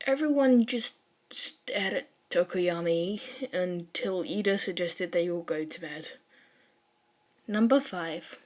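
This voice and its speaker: Slow-paced woman reading a story. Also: lowish voice. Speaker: podfic narrator. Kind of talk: scripted story narration.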